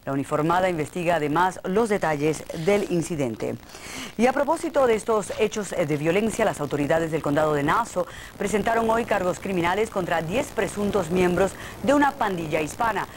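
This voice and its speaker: A woman's voice: lowish voice, lively intonation, quick pace, slight background noise. A woman anchoring a television newscast, reading scripted news on an old broadcast tape.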